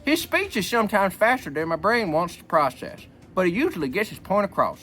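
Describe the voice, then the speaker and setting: A man's voice: high-pitched, sing-song, fairly quick, bright, forceful tone, soft background music, heavily acted. A man playing a folksy, joking old grandpa who reads a book aloud and rambles off into repetitive wisecracks. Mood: folksy storytelling. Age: older. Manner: rambling, repeats himself, wisecracking. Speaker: book reader. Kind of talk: reading a book aloud with rambling comic asides.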